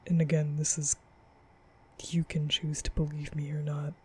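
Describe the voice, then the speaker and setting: A man playing a nervous, apologetic young vampire in an intimate ASMR roleplay, speaking breathily and often half-whispering, halting and stammering. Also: on the high side, monotone, husky. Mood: flustered, defensive. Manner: halting, stammering, rambling, sighing. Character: nervous, apologetic young male vampire, guilt-ridden and soft.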